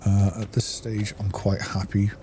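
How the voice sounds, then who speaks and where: Low-voiced man over noisy background. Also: completely flat delivery, a little slow, mellow. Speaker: gameplay streamer. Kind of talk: casual video game playthrough commentary.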